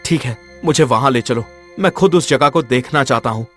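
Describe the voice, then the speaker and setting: A man voicing a brave, determined young prince in an animated fairy tale, earnest and dramatic. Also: medium pitch, moderate pace, soft background music, natural voice. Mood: earnest questioning.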